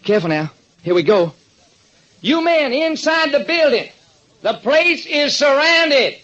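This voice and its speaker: An actor playing a police lieutenant who talks loudly and emphatically in a raised voice. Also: male voice, very animated, unhurried, full character voice. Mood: confident. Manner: loud, emphatic, high-pitched. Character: anxious police lieutenant, boastful then worried. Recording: dull lo-fi old radio broadcast.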